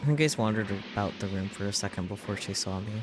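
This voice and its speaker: A man's voice: fairly low pitch, fast, music in the background. A man reading a visual novel's script aloud as a streamer, in a dramatic storytelling style. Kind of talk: dramatic read-aloud narration of a game script.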